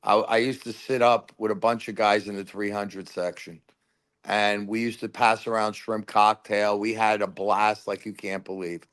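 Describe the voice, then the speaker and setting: A man calling in to a sports talk show, telling loud, rambling fan stories and giving his game predictions. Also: fairly low pitch, moderate pace. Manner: rambling, animated storyteller. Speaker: caller. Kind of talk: casual phone-in chat.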